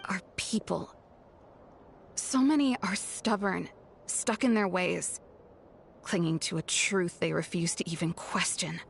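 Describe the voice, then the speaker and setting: An actress playing a guarded, conflicted young female scout in a video game, brusque and at times halting. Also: fairly low pitch, gravelly, slight background noise. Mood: troubled, hesitant.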